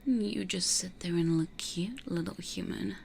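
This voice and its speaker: A woman playing a stubborn, possessive vampire in an ASMR roleplay, speaking in a dry, hushed way. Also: fairly low pitch, measured pace, gravelly, slight background noise. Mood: possessive, annoyed.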